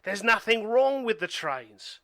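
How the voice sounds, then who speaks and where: A man's voice: sits high, animated, measured pace, pushed and bright, no room sound, studio quality, full character voice. A man playing a blunt, conspiracy-minded survivalist in an amateur radio play, speaking like a know-it-all. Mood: conspiratorial.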